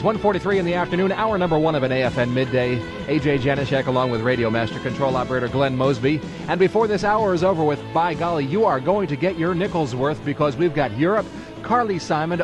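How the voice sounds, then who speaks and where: A man working as a radio DJ in a studio, giving playful, folksy announcer patter for a 1980s music show. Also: on the high side. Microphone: studio broadcast microphone.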